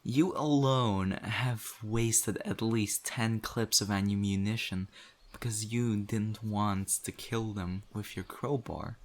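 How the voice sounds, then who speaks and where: Low-voiced, stern zombie apocalypse survivor played by a man. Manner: earnest, scolding, deliberate with pointed pauses. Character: stern survivor community member in zombie apocalypse, exasperated, principled. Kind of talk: audio roleplay acting addressed to the listener.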